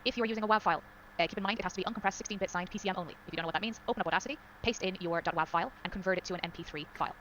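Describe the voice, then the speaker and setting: Very quick tutorial presenter, faint noise. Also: mid-range voice, a bit bright. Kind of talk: step-by-step tutorial narration.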